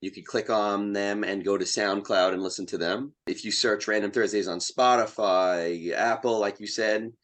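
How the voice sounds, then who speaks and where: A man's voice: lowish voice, steady tone, moderate pace, hardly any pauses, fairly bright tone. A man who is a playwright and podcast guest, chatting casually in an enthusiastic, plain-spoken way. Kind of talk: casual interview chat.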